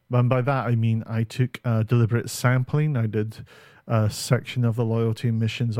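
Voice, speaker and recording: Man, podcast co-host, casual gaming chat, hesitant um-filled delivery, mid pitch, dry close recording. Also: a little mellow, no room sound. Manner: rambling, lots of ums and uhs. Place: home setup on camera.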